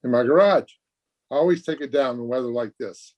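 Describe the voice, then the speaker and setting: An actor playing an earnest, nerdy high school boy, naive and eager, in old-time radio comedy style. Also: brisk, muffled recording, close to an everyday voice.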